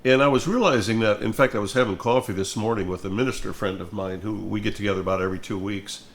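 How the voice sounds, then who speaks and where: Older man with a low, thoughtful voice. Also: fast. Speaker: music minister and host. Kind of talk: reflective conversational talk.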